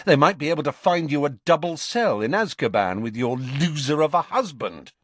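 A man's voice: medium pitch, big pitch swings, moderate pace, no echo, voice pushed up. A man voicing a defiant teenage boy who mocks and taunts. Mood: mocking. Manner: mocking, taunting.

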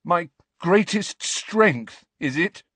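A man voicing a grieving teenage boy wizard who shouts with his voice shaking in rage. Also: high-pitched, lively intonation, slow, no room sound, voice pushed up, full character voice. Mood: furious.

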